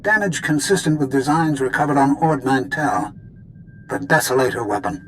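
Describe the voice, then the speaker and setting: A man playing a composed, formal advisor who speaks in a measured, precise way in a game cutscene. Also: mid-range voice, fairly bright tone, slight background noise, close to an everyday voice. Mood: analytical. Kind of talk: video game cutscene acting.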